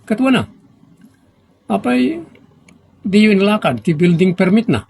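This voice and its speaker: An actor playing an arrogant, stern municipal engineer in a radio drama, curt and domineering. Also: male voice, sits high, expressive, a little slow, gravelly, darkish tone, breathy edge, exaggerated voice. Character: arrogant, stern male municipal engineer abusing his authority.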